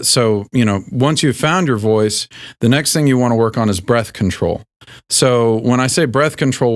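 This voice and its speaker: Un hombre con voz grave al hablar, que presenta una lección informal de canto con tono relajado, muchas muletillas y un leve acento del sur de Estados Unidos. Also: male voice, smooth. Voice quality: deep speaking voice. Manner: relaxed, self-deprecating, with many fillers and restarts. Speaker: host. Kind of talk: casual singing lesson.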